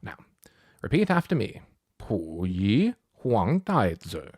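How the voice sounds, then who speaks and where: A man voicing a polite, pedantic boy scholar in a storybook, wordy and old-fashioned in his gentlemanly phrasing. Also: mid-range voice, wildly swooping pitch, moderate pace, no room sound, clean studio sound. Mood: patient, chiding. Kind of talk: storybook character voice.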